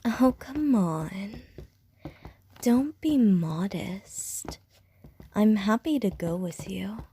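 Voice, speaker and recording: A woman's voice: medium pitch, expressive, drawn-out words, mellow, slight background noise. A woman voicing a flirtatious, predatory female vampire in an ASMR roleplay, teasing in sly, often whispered innuendo.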